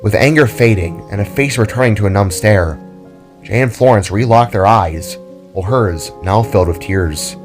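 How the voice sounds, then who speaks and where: A man's voice: fairly low pitch, moderate pace. A man narrating a dramatic scripted story as its storyteller. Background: music.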